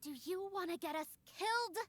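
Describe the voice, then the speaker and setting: A woman's voice: extremely high pitch, a little fast, pitched above the performer's own voice. A woman voicing a hot-tempered, self-pitying young magical girl from a visual novel, dramatic and exasperated. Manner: dramatic, sarcastic, exasperated outbursts. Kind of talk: visual novel character voice acting.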